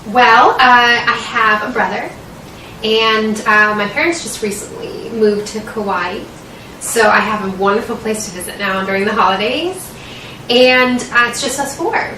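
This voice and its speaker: Young american woman doing a residency interview, bright voice, super echoey and kinda far from the mic. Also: on the high side, unhurried. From Washington State, United States. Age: young adult. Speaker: medical student interviewing for residency. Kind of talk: interview answers. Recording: roomy echo, distant mic, faint noise.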